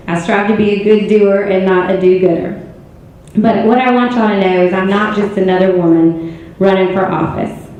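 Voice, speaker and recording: A hoarse woman in her forties with a Southern American accent, giving an earnest, self-assured campaign speech at a candidate forum. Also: mid-range voice, darkish tone. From Georgia, US. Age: 40s. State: hoarse, strained voice. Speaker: political candidate. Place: city auditorium. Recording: echoey hall, muffled sound.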